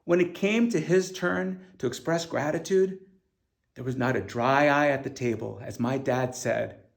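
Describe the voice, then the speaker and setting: A man reads his own first-person memoir as scripted audiobook narration, in an earnest, deliberate manner with emphatic stresses. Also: medium pitch, gravelly. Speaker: author reading own audiobook.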